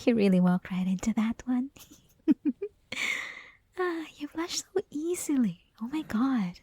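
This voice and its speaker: A woman's voice: a little high, big pitch swings, measured pace, warm tone, no room sound, exaggerated voice. A woman playing a flirty, possessive college classmate who teases the listener in an intimate, mostly whispered ASMR roleplay. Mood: flirty teasing. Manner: teasing, breathy, mostly whispered, giggly.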